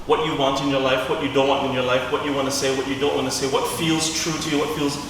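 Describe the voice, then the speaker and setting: A man from Singapore giving deliberate, step-by-step explanations as an acting coach in an interview. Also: mid-range voice, little pitch movement, fairly bright tone. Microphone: distant from the speaker. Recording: very echoey hall, distant mic, faint noise.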